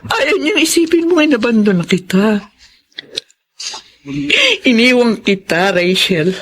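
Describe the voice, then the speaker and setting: Husky, remorseful Filipino mother. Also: on the high side, expressive. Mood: anguished, remorseful. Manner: halting, tearful, heavy with guilt. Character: remorseful mother confessing to her abandoned daughter. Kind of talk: Filipino radio drama acting.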